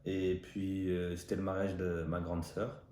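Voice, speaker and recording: A man's voice: low-pitched, level tone, a little slow, dark tone, echoey room. A man, giving short, hesitant, spontaneous answers as a vlog co-host. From Marseille, France. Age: 20s. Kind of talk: casual spontaneous chat.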